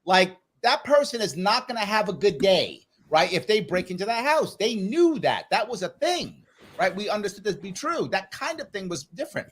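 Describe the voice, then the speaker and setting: Animated New man hosting a panel. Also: high voice, moderate pace. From New York City, the Bronx. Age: middle-aged. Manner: animated, interjecting, questioning, repeats phrases for emphasis. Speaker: panel show host. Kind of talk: live panel discussion.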